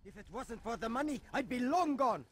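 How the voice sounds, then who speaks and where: An actor playing a disgruntled, incredulous hired caravan guard in a video game cutscene, complaining and exclaiming. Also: male voice, extremely high pitch, animated, a little fast, slight background noise. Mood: grumbling, indignant.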